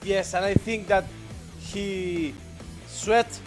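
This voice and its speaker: A man from Argentina with an Argentine Spanish accent, co-commentating live esports in halting, enthusiastic English. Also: high-pitched, fairly even intonation, soft background music.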